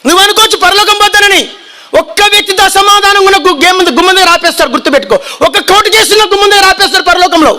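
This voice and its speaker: High-pitched, fiery preacher man. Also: rapid-fire. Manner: shouting, repeats lines and makes the crowd repeat them. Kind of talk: fiery sermon.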